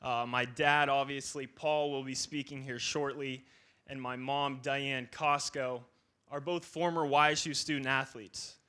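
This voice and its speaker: A man's voice: mid-range voice, sharp tone, clear, resonant tone. A young man from northeastern Ohio, a student athlete giving an earnest, formal speech of thanks at a banquet. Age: young adult.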